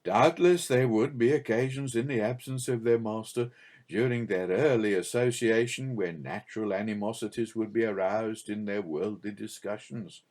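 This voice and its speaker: A man reading a religious audiobook as scripted narration, in a measured, formal and expressive way. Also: on the low side.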